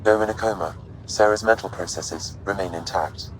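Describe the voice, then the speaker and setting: Guy doing a really low calm ai voice, formal and precise, game audio with noise behind. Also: normal speed, natural voice. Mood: calm, clinical. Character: calm, formal male artificial intelligence. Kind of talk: video game voice acting. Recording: clear background noise.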